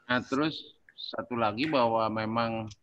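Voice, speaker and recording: A man talking casually in a discussion, haltingly searching for words as he thinks aloud. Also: medium pitch, a bit bright, muffled recording, a little hiss or hum. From Indonesia. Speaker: panelist.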